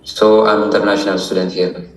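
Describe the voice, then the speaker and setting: A man's voice: lowish voice, flat delivery, fairly quick. A man in his twenties with an Ethiopian accent, giving careful, formal answers as an interview guest. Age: 20s. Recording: roomy echo, distant microphone.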